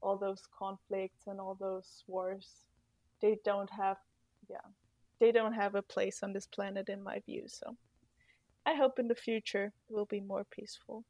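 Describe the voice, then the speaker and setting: Slow, earnest young Austrian woman. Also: mid-range voice, steady tone, no room sound. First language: German. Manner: thoughtful, earnest, with soft laughs and fillers. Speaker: guest, former exchange student. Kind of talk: podcast interview answers.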